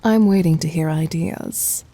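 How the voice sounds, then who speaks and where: Woman acting a slow, husky, commanding demon queen. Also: sits low, mellow. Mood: pouting, reproachful. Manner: imperious, teasing, deliberate, often breathy near-whisper. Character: commanding, possessive, tender demon queen doting on her lover. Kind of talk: ASMR roleplay.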